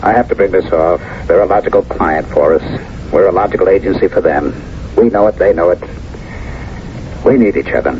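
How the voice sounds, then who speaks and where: Male actor as fifties American executive narrating radio drama: reserved, reflective, low register, dull noisy vintage recording. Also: brisk, lightly acted. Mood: reluctant. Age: 50s. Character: reserved, doubting American businessman in his fifties, also narrating. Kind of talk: 1970s radio drama acting and first-person narration.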